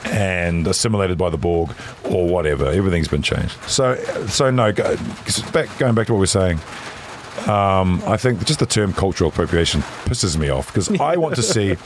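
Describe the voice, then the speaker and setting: A middle-aged man with a deep voice, chatting casually on a podcast and swinging between high, animated bursts and very low tones. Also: moderate pace, slight background noise. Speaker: podcast guest.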